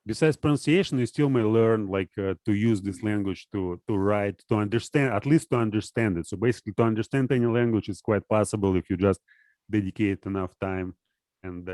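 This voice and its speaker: Halting man searching for his words. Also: fairly low pitch, a little mellow, no echo. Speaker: guest, poet-philosopher. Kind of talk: casual conversation.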